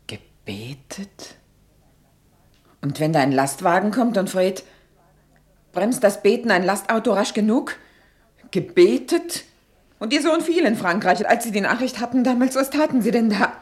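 An actress playing a distraught, anxious and reproachful mother in a German radio play, speaking haltingly and agitatedly. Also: medium pitch, lively intonation, natural voice. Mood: bitter, urgent. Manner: halting, agitated, breaking into whispers.

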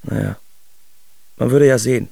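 A very gravelly reminiscing artist. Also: male voice, medium pitch, normal speed, warm tone, dry recording. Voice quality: gravelly, husky. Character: male artist as reflective memoirist looking back on his life. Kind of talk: autobiographical radio drama narration.

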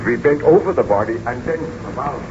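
A man's voice: mid-range voice, animated, brisk, natural voice. Guy playing haunted aristocrat scientist, stagey 1930s radio acting, dull noisy old recording. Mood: somber.

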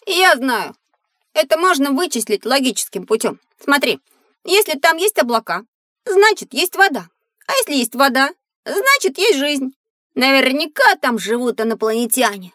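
An excitable young boy in a children's radio play. The voice is very high, with an eager, emphatic delivery. He sounds curious and sure of himself. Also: moderate pace, no echo, studio quality, full character voice. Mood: eager, confident. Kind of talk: acted children's educational audio drama.

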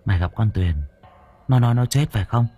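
A man's voice: medium pitch, brisk, mellow, slightly rough, faint music behind, close to an everyday voice. A man doing a scornful, cruel old mistress. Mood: uneasy. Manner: hard, contemptuous.

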